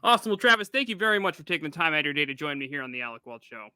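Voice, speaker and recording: A man with a high, extremely bright and forward voice hosts a sports interview show. He talks quickly as he poses questions and speaks to his listeners. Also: very animated, clear, resonant tone, no echo.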